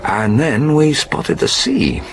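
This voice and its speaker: A man's voice: medium pitch, sing-song, slow pace, slight background noise. A man acting in a radio drama as an earnest, protective polar ice scientist who explains things methodically. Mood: explaining.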